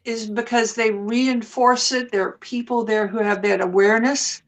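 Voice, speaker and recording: A woman's voice: fairly high pitch, fairly even intonation, normal speed. A woman from Houston as a guest in a casual spiritual conversation on a video call, chiming in with brief, affirming remarks.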